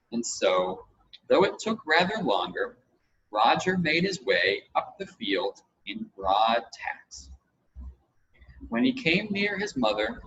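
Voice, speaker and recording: A man reading a children's adventure story aloud in expressive scripted narration. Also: on the high side, vocal fry. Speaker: audiobook reader. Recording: dull, lo-fi with audible background noise.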